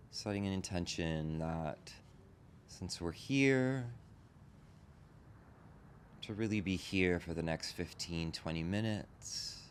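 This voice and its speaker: A man leading a guided meditation as a teacher, gently instructing in short, spaced phrases. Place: group meditation session in a room. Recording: background rumble and noise.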